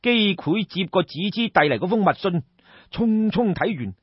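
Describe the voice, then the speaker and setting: Animated Cantonese male storyteller, slightly husky. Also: high-pitched, normal speed, dry recording, lo-fi sound. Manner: theatrical, colloquial. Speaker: audiobook storyteller. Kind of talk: dramatic traditional storytelling narration.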